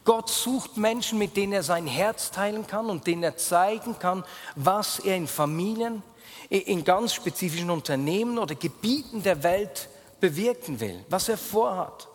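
A Swiss German man preaching with a fairly high voice. He speaks earnestly and addresses his listeners directly, and he drops low and quiet in prayer. A long reverb trails after his words in a church hall. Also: lively intonation, normal speed. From Switzerland. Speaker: pastor. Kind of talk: sermon.

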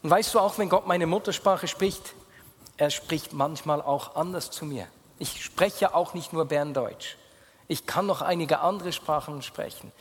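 Swiss German pastor in a reverberant hall. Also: male voice, measured pace, husky. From Switzerland. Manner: earnest, addresses listeners directly, voice drops low in prayer. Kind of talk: sermon.

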